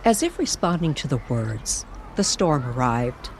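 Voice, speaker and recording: Female narrator for an eerie radio-style tale: unhurried, highly animated, suspenseful pauses. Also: a little hiss or hum. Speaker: storyteller narrator. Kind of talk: dramatic scripted narration of a paranormal radio story.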